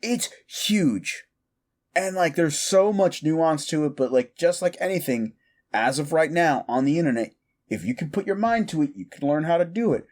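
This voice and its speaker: A man's voice: fairly high pitch, lively intonation, normal speed, darkish tone. A man playing a quirky, mischievous necromancer in a comedic audio roleplay, rambling eagerly and casually with slangy stammers. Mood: explaining eagerly.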